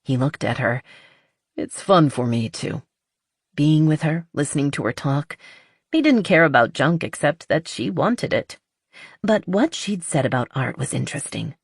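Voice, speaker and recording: Low voiced lady reading a romance audiobook, really dry studio sound. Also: big pitch swings, moderate pace, husky, dry recording. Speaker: audiobook narrator. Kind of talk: scripted narration of a romance novel.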